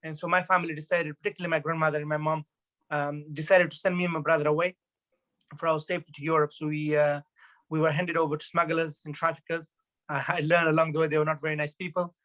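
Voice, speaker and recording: A man from Afghanistan in his thirties shares his thoughts as a guest speaker. He talks fast and earnestly, often restarting sentences and dropping in fillers. His voice sits a little high for a man. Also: flat delivery, fairly bright tone, low-quality recording. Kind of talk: personal talk at an online event.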